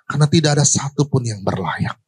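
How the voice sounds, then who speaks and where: A middle-aged male pastor preaching a sermon on a church stage into a handheld microphone, asking the congregation questions and swinging between emphatic high lines and slow, low ones. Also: lively intonation, a little mellow, slightly rough.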